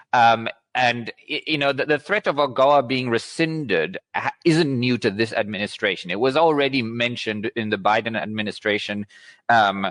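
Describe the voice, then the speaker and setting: A man interviewing for a news programme, asking conversational questions that he rephrases haltingly as he goes. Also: medium pitch, a bit bright, no room sound.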